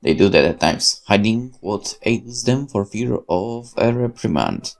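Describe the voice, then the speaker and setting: Polish-native man, let's play host, reads a horror story game aloud, gravelly, lively intonation, corrects himself. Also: lowish voice, measured pace. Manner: lively reading with self-corrections and asides. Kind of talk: reading a horror visual novel aloud.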